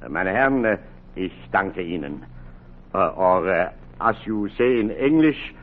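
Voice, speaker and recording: An actor playing a courteous German professor with a German accent, ceremonious and halting, in a 1940s radio drama. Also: male voice, low voice, expressive. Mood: welcoming. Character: formal, courteous German professor of heart surgery. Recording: muffled, hissy 1940s radio recording.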